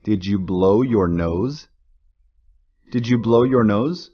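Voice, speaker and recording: Man, English teacher, slow drill reading, low voice, repeats every sentence. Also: dull audio, soft background music. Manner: reads each sentence twice, deliberately.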